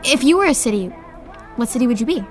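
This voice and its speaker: A woman's voice: on the high side, animated, fast, faint music behind, close to an everyday voice. An actress playing a bubbly, shallow young woman who talks in valley-girl chatter. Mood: chatty.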